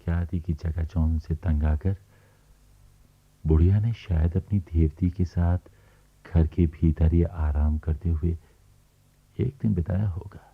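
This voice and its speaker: Radio play narrator: sceptical male storyteller, deep, unhurried, phone-like archive sound. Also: steady tone, creaky, breathy edge, exaggerated voice. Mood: wry, measured. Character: wry, sceptical male storyteller, slow and measured.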